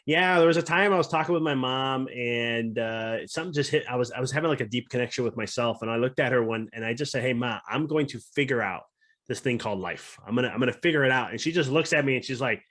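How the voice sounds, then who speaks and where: A man's voice: mid-range voice, fast, a bit bright. A man guesting on a podcast as a mindset coach, reflective and earnest in casual conversation. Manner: reflective and earnest, talking through ideas.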